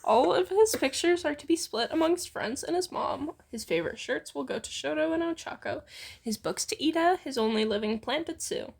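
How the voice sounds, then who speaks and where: Woman, high register, gravelly fry, casual fan fiction narration. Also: animated, moderate pace, darkish tone.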